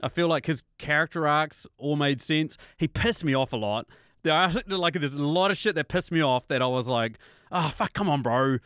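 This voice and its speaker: A young man with a New Zealand accent in casual chat, rambling, animated and swearing freely. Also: fairly high pitch, normal speed, clear, resonant tone, no room sound, studio quality.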